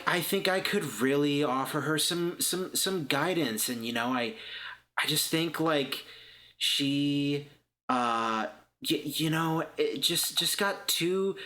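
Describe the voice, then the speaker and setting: A man plays a self-satisfied young woman in a tabletop game, with a bright, forward voice. She talks down to people in a halting, hedging way, full of patronizing sympathy. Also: fairly high pitch. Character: self-satisfied, condescending young woman, small-business recruiter.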